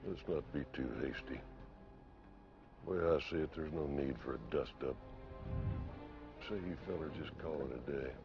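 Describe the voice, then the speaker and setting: An extremely deep male voice with a laid-back Southern drawl, a folksy, unflappable cowboy adventurer in a fantasy game. The sound is slightly muffled, with music clearly behind it. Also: normal speed, pauses often. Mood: wry, easygoing. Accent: American Southern. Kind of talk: fantasy video game dialogue.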